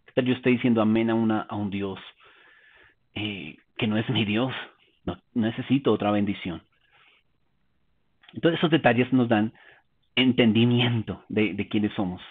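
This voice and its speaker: Hombre predicador de voz ronca. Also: male voice, fairly low pitch, normal speed, pauses often, breathy edge. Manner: calm, explanatory, asks rhetorical questions. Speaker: preacher. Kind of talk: teaching sermon. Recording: tinny phone-like sound.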